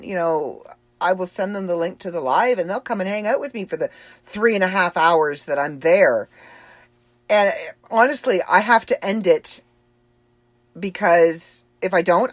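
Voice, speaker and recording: A woman's voice: medium pitch, expressive, moderate pace, dry recording. A woman with a Boston accent giving a rambling, chatty personal update, laughing easily as she talks. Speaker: author and livestream host.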